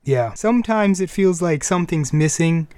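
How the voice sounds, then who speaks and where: Man, fairly high voice, dry close sound, podcast host, casual chat, rambling and enthusiastic. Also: lively intonation, normal speed, mellow, dry recording. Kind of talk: casual music and anime chat.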